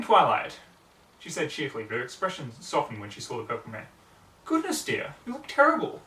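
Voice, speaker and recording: A man's voice: medium pitch, sharp tone. A man reading scripted fiction aloud as an amateur audiobook narrator. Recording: roomy, distant mic, faint background noise.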